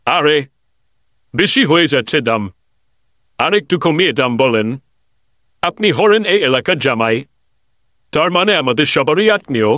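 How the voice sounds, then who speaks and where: A man's voice: fairly high pitch, expressive, measured pace, pauses often, pushed and bright, no echo, clean studio sound. A man voicing a curious, friendly villager who haggles as a buyer in a comedy cartoon, inquisitive and coaxing. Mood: haggling, coaxing.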